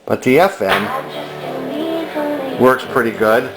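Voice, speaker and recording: An older man giving a casual repair demonstration as a hobbyist, halting as he thinks aloud. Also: medium pitch. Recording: background music from vintage radio and turntable.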